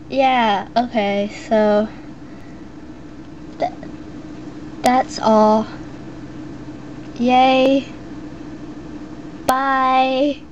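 A woman's voice: high voice, a little mellow, dull audio, slight background noise. A teenage girl at home answering voice meme questions, hesitant and giggly, stumbling over words and full of fillers as she reads a passage aloud. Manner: hesitant, many fillers and restarts. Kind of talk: casual chat and halting reading aloud.

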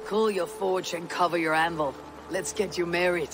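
An actress playing a steady, commanding female Viking chieftain in a video game, speaking in a measured, stately way. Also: lowish voice, noisy background, natural voice. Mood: decisive. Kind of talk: dramatic video game acting.

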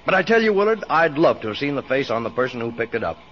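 A man's voice: mid-range voice, big pitch swings, fast, fairly bright tone. An actor playing a wealthy, breezy businessman, a man spinning an anecdote in 1940s radio style. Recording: muffled, noisy vintage 1940s radio broadcast.

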